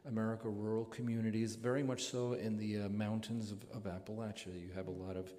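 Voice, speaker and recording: A man hosting a live radio show on a theater stage, introducing and interviewing a guest in a halting way with drawn-out pauses. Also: fairly low pitch, little pitch movement, warm tone, in a large hall. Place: theater stage before an audience.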